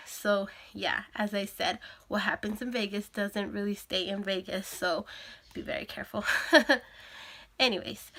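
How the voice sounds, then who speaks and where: A woman's voice: medium pitch, fairly bright tone. A young woman vlogger with a sore throat and a congested nose, chatting casually to camera with lots of fillers. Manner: chatty, with frequent fillers and laughs.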